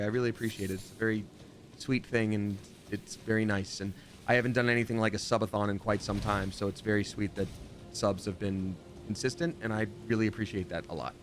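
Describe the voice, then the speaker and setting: A man chatting casually and at length while streaming a video game, rambling along and jumping up in excitement. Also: lowish voice. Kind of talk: live gameplay commentary. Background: game music.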